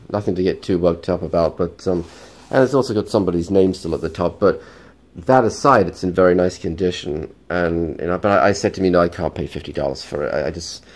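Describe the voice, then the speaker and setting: A man hosting a casual record haul vlog, talking in a chatty, rambling way with lots of ums, his voice croaky and stuffy from a cold. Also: low-pitched, a little mellow. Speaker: vinyl collecting vlogger.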